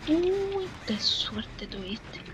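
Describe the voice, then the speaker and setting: Slow-paced woman murmuring over a game. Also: high-pitched, very animated. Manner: hushed, murmuring to herself, with English interjections. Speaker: gaming channel host. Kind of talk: casual horror game commentary. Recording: clearly noisy, game sounds behind. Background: game ambience.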